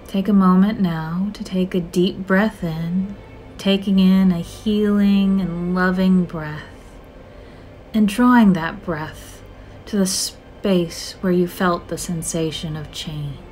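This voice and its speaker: A woman narrating a scripted guided meditation in a soothing, measured, gentle manner with drawn-out phrases. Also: lowish voice, fairly even intonation, low-quality recording. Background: soft music.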